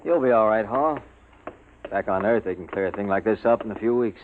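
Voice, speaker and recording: A man's voice: fairly low pitch, fairly quick, narrow, tinny audio, slight background noise, natural voice. A man playing a dutiful military subordinate in a 1950s radio drama. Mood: reassuring. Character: dutiful male military subordinate escorting an officer. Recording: band-limited old radio recording.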